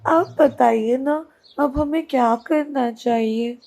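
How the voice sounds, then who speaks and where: A woman's voice: sits high, slow, dark tone, voice pushed up, full character voice. A woman voicing an anxious little boy in a cartoon story, pleading insistently and repeating himself. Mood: worried pleading.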